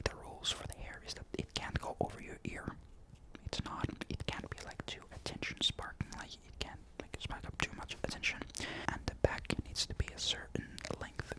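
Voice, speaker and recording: Young guy doing asmr, all soft whisper, rambly with lots of um and like. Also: low-quality recording. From Finland. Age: young adult. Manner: soft unvoiced whisper throughout; rambling, many fillers and restarts. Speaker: ASMR creator. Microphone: desktop condenser mic.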